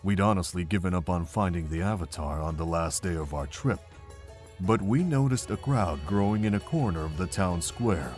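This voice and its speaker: A man voicing a weary, kindly older monk with a measured, earnest way of telling things, in a fan visual novel. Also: deep voice, expressive, darkish tone, soft background music. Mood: grave, earnest.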